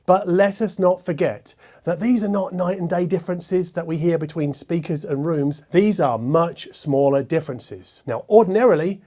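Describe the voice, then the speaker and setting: A man hosting a scripted hi-fi product review, speaking in a measured way with wry asides. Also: fairly high pitch, warm tone. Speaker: host and hi-fi reviewer.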